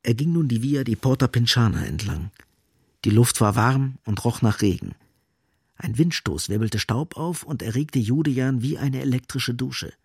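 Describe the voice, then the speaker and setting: A man's voice: mid-range voice, moderate pace, darkish tone, slightly rough, dry recording, clean studio sound. A man narrating a scripted literary radio drama as storyteller.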